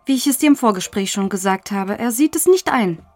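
A woman's voice: medium pitch, lively intonation, a little fast, darkish tone, faint music behind, natural voice. A woman playing a bossy, exasperated, controlling wife in a comic audio drama, scolding and superior.

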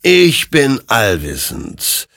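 A man's voice: mid-range voice, wildly swooping pitch, extremely slow, fairly bright tone, dry recording, clean studio sound, pitched above the performer's own voice, full character voice. A man voicing an all-knowing spectral rider of smoke and burning souls in a dramatic story, solemn and accusing. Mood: commanding, knowing.

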